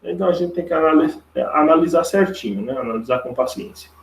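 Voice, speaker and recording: A Brazilian man with a creaky voice teaching a live online logic lesson step by step, informally, repeating himself and checking for agreement with tag questions. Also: on the high side, fast, a little hiss or hum. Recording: roomy, echoey online class audio.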